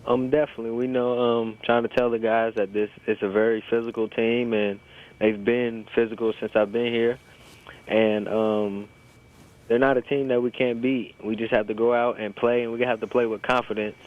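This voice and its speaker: A man's voice: mid-range voice, flat delivery, normal speed. A young American man in his early twenties, a college athlete giving informal, hesitant interview answers over a phone line. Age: early 20s. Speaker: college basketball player being interviewed. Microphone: telephone. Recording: tinny, narrow phone line.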